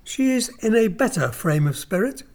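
A man's voice: high voice, sing-song, normal speed, darkish tone, voice pushed up. A man voicing a sly, mocking old nobleman who drawls with sudden harsh bursts.

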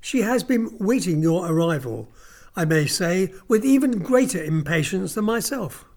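Male narrator voicing a sneering elderly lord; high, slow, wide-ranging delivery. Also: animated, darkish tone. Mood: sly. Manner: leering, drawling, sudden harsh bursts. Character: sly mocking old nobleman, uncle, lame.

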